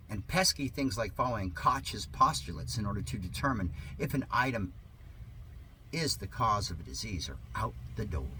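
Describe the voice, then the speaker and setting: Guy reading satire super sarcastic, bright voice swooping up and down, bit of noise behind him. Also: medium pitch, low-quality recording. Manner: theatrical mock-earnest, exaggerated emphasis. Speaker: reader of a satirical article.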